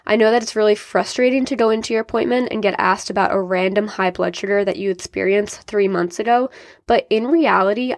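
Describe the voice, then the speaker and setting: A woman's voice: mid-range voice, gravelly, no echo, clean studio sound. A young adult woman hosting a solo podcast, sounding a bit nasal and congested from a sinus infection, explaining things upbeat and directly to her listeners. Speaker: podcast host and diabetes coach.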